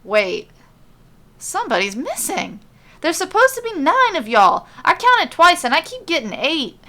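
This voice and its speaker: A woman's voice: extremely high pitch, huge pitch swings, a little slow, sharp tone, a little hiss or hum, voice pushed up, full character voice. A woman voicing a frantic, flustered male messenger in an audiobook-style fantasy story. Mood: insistent. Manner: exclamatory, flustered.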